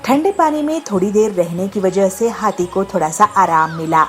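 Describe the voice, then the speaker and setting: A woman's voice: mid-range voice, moderate pace, faint music behind. A woman narrating a scripted moral story for children.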